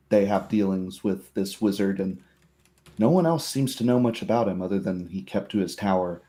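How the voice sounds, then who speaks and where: Low, very mellow male game master. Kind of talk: dramatic narration of a tabletop fantasy game.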